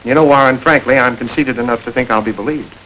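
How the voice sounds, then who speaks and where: Actor as suave gang boss, smooth and mocking, old film sound with faint hiss. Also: male voice, medium pitch, quick pace, fairly bright tone, close to an everyday voice, low-quality recording. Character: suave smug male gang boss, adult. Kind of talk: 1940s film acting.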